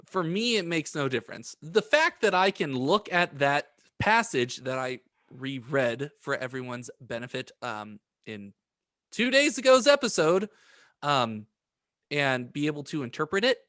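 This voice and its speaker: Halting, higher-pitched young man chatting. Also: animated, a bit bright, no echo, clean studio sound. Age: young adult. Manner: halting, rambling, stumbling over words, self-deprecating. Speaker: podcast co-host. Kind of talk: casual panel chat.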